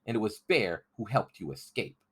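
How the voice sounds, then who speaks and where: Man voicing an earnest, higher-pitched anxious boy. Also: very animated, moderate pace, fairly bright tone, breathy edge, full character voice. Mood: pleading, determined. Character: earnest, anxious peasant boy pleading for his friend.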